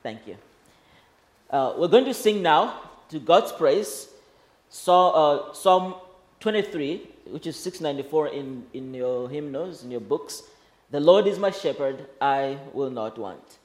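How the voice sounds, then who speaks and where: A man from Malawi, a guest pastor, leading a church service in an earnest, halting way with frequent fillers. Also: on the high side, lively intonation, a little mellow.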